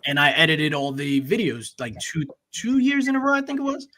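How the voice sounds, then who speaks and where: Earnest man with a high-ish voice. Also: very animated, a little fast, bright, forceful tone. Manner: earnest, explains at length with fillers. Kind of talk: casual chat.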